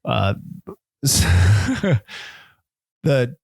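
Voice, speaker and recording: Man, film podcast co-host, mid-range voice, dry close sound, jokey and animated. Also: mid-range voice, drawn-out words, creaky, breathy edge, no room sound. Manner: jokey, animated, acts out scenes in silly voices. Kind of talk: casual film discussion.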